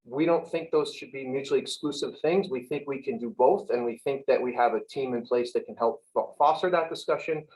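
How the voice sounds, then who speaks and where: A man's voice: medium pitch, fairly quick, few pauses, darkish tone. A man speaking for a community group, earnest and restarting words as he presents. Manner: earnest, repeats words while searching. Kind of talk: presentation on a video call. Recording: dull lo-fi audio.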